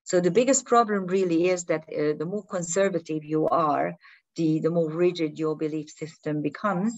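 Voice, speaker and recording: Woman, low voice, non-native English, spiritual teacher speaking off the cuff, halting and full of restarts. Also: a little mellow, dull audio. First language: not English. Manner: hesitant, restarting and searching for words. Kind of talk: unscripted talk to viewers.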